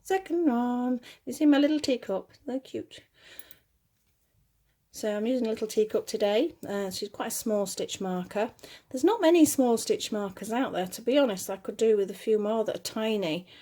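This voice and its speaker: A woman giving casual step-by-step craft instruction in a slightly gruff voice, chatty and reassuring, often correcting herself as she goes. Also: moderate pace, dark tone. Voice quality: slightly gruff, lower than her usual voice. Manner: chatty, self-correcting, reassuring toward beginners. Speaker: crochet tutorial host.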